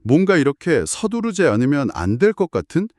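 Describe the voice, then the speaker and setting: A man's voice: on the high side, sing-song, brisk, darkish tone, no room sound, clean studio sound. A man retelling a listener's story on a radio-book show, acting out the quoted lines as he goes.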